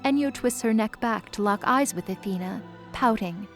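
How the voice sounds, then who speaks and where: A woman's voice: mid-range voice, moderate pace. A woman narrator reading a scripted dramatic story. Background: music bed.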